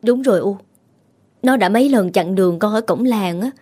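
A woman's voice: mid-range voice, normal speed, dark tone, breathy edge, natural voice. A woman voicing a grieving young village woman in a horror story, tearful and earnest. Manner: tearful, pleading.

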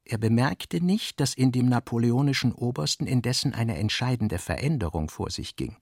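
A man's voice: medium pitch, animated, normal speed, creaky, breathy edge, no echo. Dry, close-recorded man narrating literary prose. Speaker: narrator reading a literary radio production.

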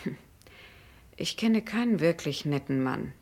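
A woman's voice: sits low, expressive, a little slow, darkish tone, heavily acted. An actress in a German radio drama playing a frank, wistful young woman, direct and teasing in her manner. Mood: wistful, challenging.

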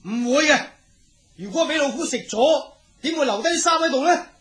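A cocky young prince for a radio drama. The voice is high and youthful, breezy and emphatic, with a playful swagger. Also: animated, normal speed, fairly bright tone. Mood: worried, alarmed. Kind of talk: Cantonese radio drama acting.

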